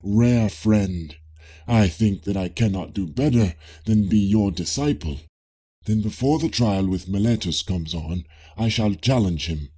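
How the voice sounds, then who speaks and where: A man's voice: lowish voice, mellow, slightly rough. A man voicing an inquisitive, mock-humble ancient Greek philosopher, formal and earnest, in an audiobook dialogue.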